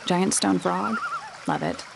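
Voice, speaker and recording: A woman playing a blunt, observant detective's sidekick with deadpan, laconic delivery in a mystery adventure game. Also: lowish voice, slow, vocal fry, over music, full character voice. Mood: deadpan, teasing. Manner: deadpan, laconic, matter-of-fact.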